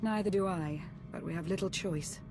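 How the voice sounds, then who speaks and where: A woman's voice: on the low side, moderate pace, music in the background, close to an everyday voice. A woman playing an easygoing adventurer in a game cutscene, casual, dry and teasing. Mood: wry. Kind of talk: video game cutscene acting.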